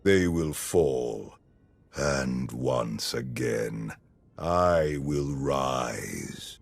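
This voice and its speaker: An actor playing a menacing dark overlord in a video game, deep and gravelly, with ominous drawn-out delivery. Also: male voice, animated, exaggerated voice. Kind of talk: video game villain acting.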